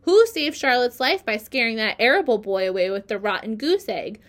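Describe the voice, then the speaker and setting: A woman's voice: high-pitched, expressive, a little fast, pushed and bright, pitched above the performer's own voice. Woman doing a pleading young pig. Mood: urgent. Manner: pleading, urgent. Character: young male pig, earnest and desperate.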